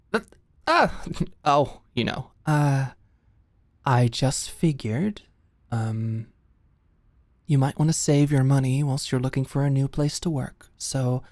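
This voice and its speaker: A woman's voice: a bass voice, darkish tone, no room sound, studio quality, full character voice. A woman playing a flustered, lovestruck office ex-employee, hesitant and rambling, in a scripted ASMR audio roleplay.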